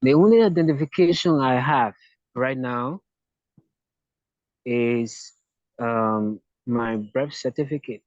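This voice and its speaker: A man's voice: medium pitch, slow, pauses often, darkish tone, no room sound. A man from Liberia with a Liberian accent, answering interview questions hesitantly, often repeating words and restarting. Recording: dull lo-fi dry sound.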